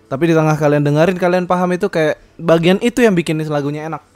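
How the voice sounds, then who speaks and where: A man's voice: a little high, fast talker, darkish tone, soft background music. Rambling young man, casual podcast chat. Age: young adult. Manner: rambling, many fillers and self-corrections, laughs often. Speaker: podcast host.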